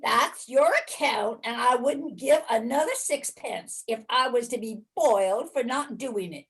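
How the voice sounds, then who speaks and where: A woman's voice: fairly high pitch, unhurried, natural voice. A woman playing a sly, grudging old male rag dealer in a dramatic story reading, with a wry theatrical delivery. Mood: grudging, smug.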